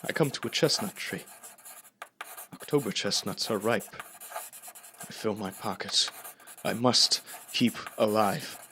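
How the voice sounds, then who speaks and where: A man's voice: on the low side, sharp tone, slight background noise. A young actor playing a haunted professor, a lone survivor solemnly narrating his journal in a theatrical radio drama. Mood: weary, watchful. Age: young adult. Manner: solemn, deliberate, brooding.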